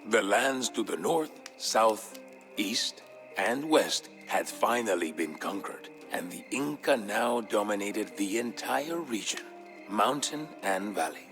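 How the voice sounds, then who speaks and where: An actor playing a male narrator who recounts an old legend in a measured, scripted storybook manner for a video game. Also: fairly low pitch, animated, fairly bright tone, soft background music, heavily acted. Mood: solemn storytelling.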